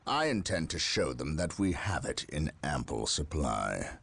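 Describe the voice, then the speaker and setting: A man playing a stern, burdened space marine captain in a video game, with grave, measured, commanding military delivery and a gravelly voice. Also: very deep voice, sing-song, fairly bright tone, no room sound, heavily acted. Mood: grave, resolute. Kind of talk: dramatic video game acting. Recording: music behind the voice.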